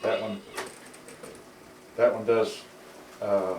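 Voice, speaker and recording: A man giving a casual, unscripted demonstration, talking himself through it step by step in a halting way. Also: fairly low pitch, little pitch movement, slight background noise. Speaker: repairer demonstrating serviced cassette players. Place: indoors in a house. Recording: roomy, distant mic, loud music behind.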